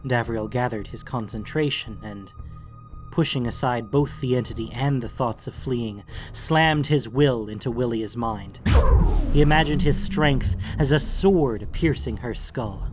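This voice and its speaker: A man's voice: mid-range voice, unhurried, music in the background. A man narrating a fantasy story in a dramatic, scripted audiobook style.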